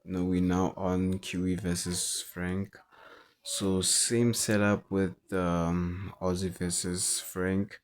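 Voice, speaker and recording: Non-native english guy walking thru forex charts, slow and hesitant, kinda flat delivery. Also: low voice. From South Africa. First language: not English. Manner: hesitant, halting, repeats words. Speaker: forex trader. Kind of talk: chart analysis commentary.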